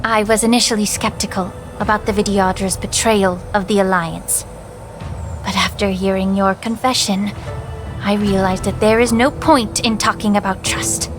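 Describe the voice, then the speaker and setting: An actress playing a poised, sharp-minded female healer official in a video game, speaking with polite, composed formality and a pointed edge. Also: medium pitch, fairly even intonation, moderate pace, over music, natural voice. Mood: cool, accusing. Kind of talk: dramatic video game voice acting.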